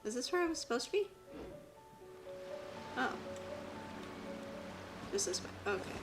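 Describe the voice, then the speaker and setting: A woman gives casual, playful game commentary in a somewhat high voice, often muttering to herself at an easy, slowish pace. She sounds set back from the mic, with clear game music behind her. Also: very animated, distant mic. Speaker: game streamer, VTuber. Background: video game music.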